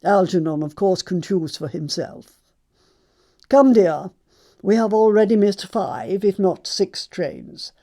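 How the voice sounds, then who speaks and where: A woman's voice: medium pitch, lively intonation, slow pace, warm tone. A woman playing a snobbish, haughty older lady in a stage comedy audiobook, with an upper-class English accent and a pompous, commanding manner. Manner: pompous, commanding, emphatic enunciation.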